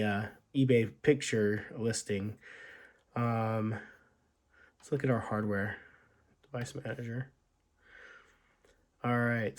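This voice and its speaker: Hoarse man casually walking through a laptop. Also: medium pitch, mellow. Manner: thinking aloud, halting, many fillers. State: hoarse from illness. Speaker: tech video host. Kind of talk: casual unboxing walkthrough.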